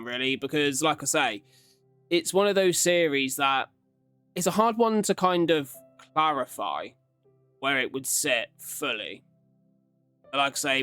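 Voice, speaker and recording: A man's voice: mid-range voice, a bit bright, smooth, no room sound. A man hosting a casual ranking commentary, talking in a rambling, informal, conversational way. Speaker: YouTube reaction host. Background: background music.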